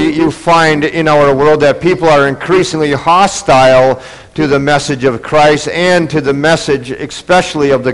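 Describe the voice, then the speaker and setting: A man's voice: a little high, rough recording. A man preaching a sermon, congested from a cold, earnest and building in intensity. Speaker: pastor. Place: church.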